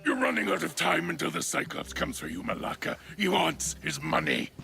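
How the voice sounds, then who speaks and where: A scornful male enforcer in a video game. His voice is deeply creaky and forceful, a little high for a man, and every line comes out as a threat. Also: big pitch swings, a little fast, soft background music, exaggerated voice. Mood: threatening. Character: menacing gravelly male thug, scornful enforcer.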